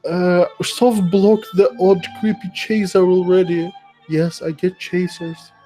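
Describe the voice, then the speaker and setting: A man with a notably high voice reads aloud in a mock-dramatic streamer style. His delivery is theatrical and giggly, and music plays softly behind him. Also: flat delivery, takes it slowly. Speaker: streamer reading a social media thread aloud. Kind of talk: mock-dramatic reading with amused asides. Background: background music.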